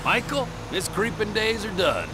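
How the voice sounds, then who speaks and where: A man's voice: sits high, big pitch swings, normal speed. An actor playing a volatile, menacing middle-aged criminal trucker in a video game, sardonic and profane, drawing out his words. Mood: evasive. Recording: vehicle noise behind the voice.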